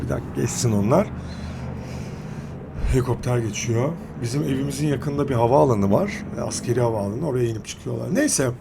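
A man giving casual, slangy film commentary as a video reviewer, halting and correcting himself as he goes. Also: a little high, creaky, a little mellow, slight background noise. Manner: halting, self-correcting delivery.

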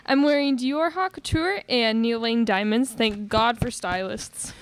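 A woman's voice: on the high side, unhurried, clear, resonant tone, lightly acted. A young woman acting a bubbly, self-deprecating movie star in a live radio theatre comedy. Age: young adult. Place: college classroom stage, indoors, small audience. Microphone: stand microphone, close.

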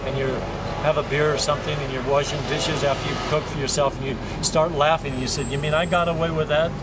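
Older guy just chatting outside, voice a bit high, lots of traffic noise. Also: level tone, fast talker. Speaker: poet. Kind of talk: casual chat. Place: outdoor restaurant patio beside street. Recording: loud outdoor street and traffic noise. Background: cars and urban noise.

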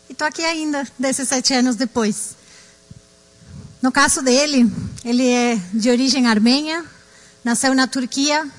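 A woman's voice: high-pitched, rough recording. A woman from Argentina with an Argentine accent, a native Spanish speaker, introducing the next speaker on stage in a conversational way. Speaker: event host. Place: large auditorium stage.

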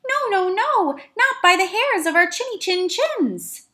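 A little storybook goat, played by a woman reader, very high and squeaky. It is small and scared yet defiant, refusing loudly and emphatically. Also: very animated, measured pace, full character voice. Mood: defiant, alarmed.

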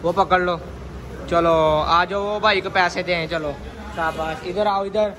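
A man's voice: high-pitched, fairly even intonation, a little slow, crowd noise. A young man vlogging on a phone camera, narrating an outing to a bakery and coaxing children along with short repeated prompts. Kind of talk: casual vlog narration. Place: outdoors on a street and inside a small bakery. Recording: clear street and shop noise behind.

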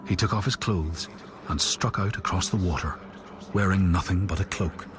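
An actor playing a grave storyteller who narrates Norse legends in a solemn, deliberate way for a video game. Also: male voice, deep voice, very noisy, lightly acted. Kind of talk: scripted saga narration.

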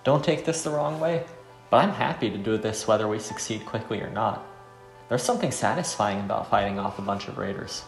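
Man reading as a courteous, battle-eager fighter, creaky voice. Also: on the low side, fairly quick, reverberant, faint music behind, close to an everyday voice. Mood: eager, determined.

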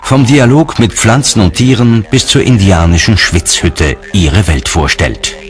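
A man's voice: fairly low pitch, unhurried. A man reading scripted narration for a documentary. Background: music.

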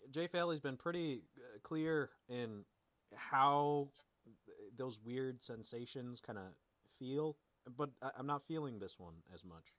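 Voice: mid-range voice, lively intonation, slow, a bit bright, no echo. A podcast co-host talking in casual discussion.

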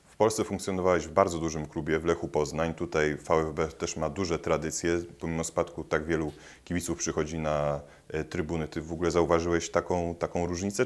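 Very deep, flat male interviewer. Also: a little fast. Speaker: sports journalist, interviewer. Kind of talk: interview questions. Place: indoor interview room.

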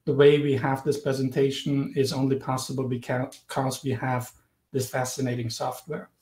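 Austrian guy giving an online lecture, clear and a bit jokey, echoey call audio. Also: medium pitch, flat delivery, normal speed, darkish tone. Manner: clear, explanatory, lightly humorous. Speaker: guest lecturer, professor. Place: indoors, home or office, virtual background. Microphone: video call webcam. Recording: roomy video call audio.

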